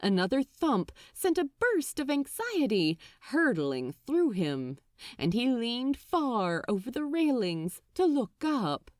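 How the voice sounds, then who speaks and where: A woman narrates a story in an expressive, dramatic style. Her fairly high voice moves through very wide pitch swings at a slow, deliberate pace. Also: no room sound, clean studio sound. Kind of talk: expressive scripted fiction narration.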